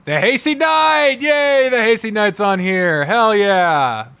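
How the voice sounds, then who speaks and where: A man giving casual, off-the-cuff ranking commentary in an animated way, speaking straight to the things being ranked and swearing freely. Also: high voice, takes it slowly, smooth. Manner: animated, addresses the games directly, swears freely. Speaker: video host ranking visual novels.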